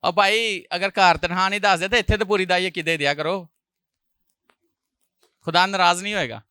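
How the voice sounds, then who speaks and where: Male pastor, bright ringing voice, sore throat, loud hallelujah rises, jokey asides. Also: high-pitched, steady tone, normal speed, smooth, no echo, clean studio sound. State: sore throat, clearing his throat. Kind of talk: sermon.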